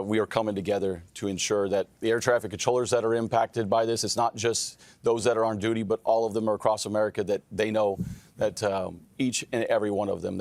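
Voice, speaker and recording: Male official, lowish voice, dry close sound, formal, measured, careful hesitant phrasing. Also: darkish tone, no room sound. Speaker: aviation official speaking for air traffic controllers. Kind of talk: formal spoken statement in an interview.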